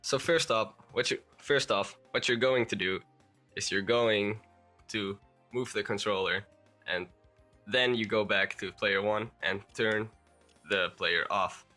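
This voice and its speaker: A man's voice: on the low side, steady tone, unhurried, sharp tone, faint music behind. A young man with a Dutch accent, a bit sick, talking through a game tutorial in a rambling, hesitant way. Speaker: YouTuber.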